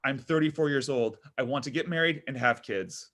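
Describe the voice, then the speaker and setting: A man's voice: mid-range voice, a little fast, fairly bright tone, lightly acted. A man acting a needy, self-dramatizing man in his thirties at a comedy table read, exasperated and argumentative. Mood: sulky. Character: needy, whiny, self-dramatizing thirty-four-year-old son.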